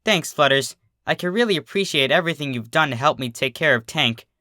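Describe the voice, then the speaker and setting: A brash young female pegasus pony from a cartoon tale, as a narrator puts on. The voice is bright, casual and excitable, with lively swings in pitch. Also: a little fast, no echo, studio quality. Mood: earnest.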